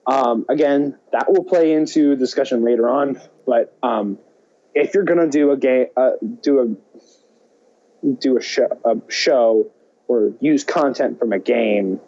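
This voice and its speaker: Lo-fi sound. Male co-host, medium pitch, halting conversational delivery. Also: dark tone. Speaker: podcast co-host. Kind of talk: casual chat. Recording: dull lo-fi audio.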